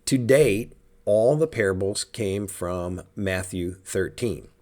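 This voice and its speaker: A man with a raspy, hoarse voice from a sinus cold, hosting a casual church podcast in an easygoing, chatty way. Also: fairly low pitch, slow, darkish tone. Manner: easygoing, chatty, with many fillers. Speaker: podcast host.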